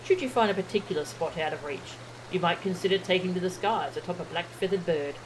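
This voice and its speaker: A woman voicing an earnest, eloquent explorer from a fantasy game, with formal, flowery, measured delivery. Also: on the low side, slight background noise, lightly acted, rough recording. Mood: inviting, enthusiastic. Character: earnest, eloquent explorer welcoming a fellow adventurer. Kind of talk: fantasy game dialogue read aloud. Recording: game music behind the voice.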